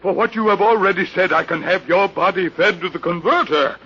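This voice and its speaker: A man's voice: high-pitched, fairly quick, pushed and bright. An actor playing a rigid, pompous old holy man who guards dogma in an old radio drama. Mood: menacing. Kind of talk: 1950s radio drama acting. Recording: old muffled radio recording, light static.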